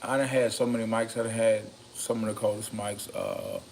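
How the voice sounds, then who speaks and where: A raspy-voiced man rambling casually about microphones, slow and meandering, with many ums and a slightly loaded, loose delivery. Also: on the low side, huge pitch swings, a little mellow, slight background noise. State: a bit intoxicated. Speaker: home studio vlogger reviewing microphones. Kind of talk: rambling casual talk to camera.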